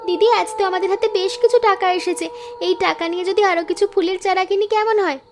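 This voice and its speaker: A woman's voice: very high voice, steady tone, fast talker, a little hiss or hum. A woman voicing earnest, hopeful young flower-selling sisters in a moral-story cartoon, speaking politely. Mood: hopeful, planning.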